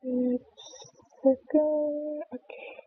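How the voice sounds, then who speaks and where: High, even-voiced woman streamer, clean of creak. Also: drawn-out words, phone-quality sound. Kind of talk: casual stream chat and storybook read-aloud.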